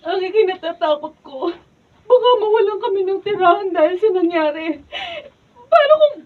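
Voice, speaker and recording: Distraught schoolgirl daughter, extremely high voice, radio drama, thin distant recording. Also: animated, normal speed. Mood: tearful, fearful. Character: distraught, fearful young female student, a daughter. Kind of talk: Filipino radio drama acting. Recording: distant, phone-like studio camera audio.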